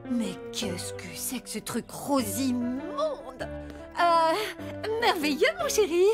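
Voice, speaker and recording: Excitable cartoon partner, very high, swooping, exclamatory, music underneath. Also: female voice, takes it slowly, heavily acted. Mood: disgusted, then flattering. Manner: exclamatory, expressive. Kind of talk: French-dubbed cartoon acting. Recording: music behind.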